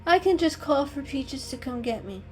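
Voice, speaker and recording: A woman's voice: high voice, expressive, normal speed, faint music behind, voice pushed up. A woman voicing a proud young male kitten in a storybook reading, kindly, loyal and encouraging. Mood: plucky. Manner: encouraging, warm-hearted.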